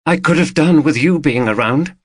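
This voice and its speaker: A man's voice: a little high, normal speed, breathy edge, no echo, clean studio sound, pitched above the performer's own voice. A man voicing a frightened, tearful woman who anxiously questions her partner. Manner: anxious, pleading, rising to shouts.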